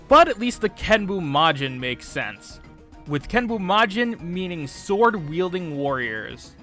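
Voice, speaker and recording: A man's voice: high voice, bright, forceful tone. A man hosting a fan video, delivering scripted explainer commentary with informal, opinionated asides. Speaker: host of a fan video about game name localizations.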